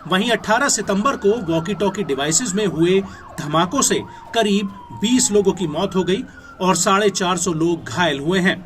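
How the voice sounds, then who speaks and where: A man's voice: high-pitched, moderate pace, fairly bright tone. A man with an Indian accent reading scripted news narration in an emphatic, formal newsreader delivery. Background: background music.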